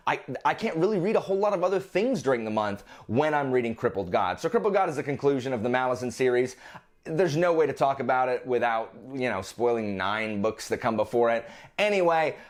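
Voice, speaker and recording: A man's voice: mid-range voice, animated, brisk. A man hosting a vlog, talking casually and informally about his reading list with playfully exasperated asides. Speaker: book vlogger, channel host.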